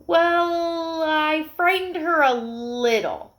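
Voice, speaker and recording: Woman voicing an eager, polite little boy puppy, pitched up. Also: very slow, a bit bright, heavily acted. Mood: earnest, hopeful. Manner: polite, earnest, childlike.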